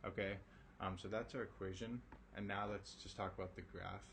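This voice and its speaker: Raspy low guy teaching algebra late at night, laid back, lots of okays, faint hiss behind. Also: fairly even intonation, brisk, fairly bright tone. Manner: casual, reassuring, many ums and okays. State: raspy, tired voice late in the day. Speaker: math teacher. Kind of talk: recorded math lesson.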